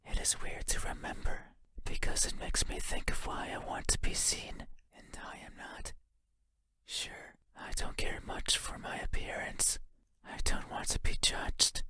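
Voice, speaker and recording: A man voicing a lonely, yearning monster for a horror game, in a breathy whisper with a halting, intimate manner. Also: bright, forceful tone. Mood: wistful, hesitant. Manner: halting, intimate, musing, formal phrasing. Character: lonely wordless monster lurking in the dark, yearning, eerie. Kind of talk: video game horror monologue.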